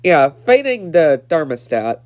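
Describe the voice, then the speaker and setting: A man's voice: on the high side, very animated, slow. Stuffed-up young man rambling a review. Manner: rambling, repeats himself, many fillers. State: stuffed-up nose. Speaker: episode reviewer. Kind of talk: casual recap review.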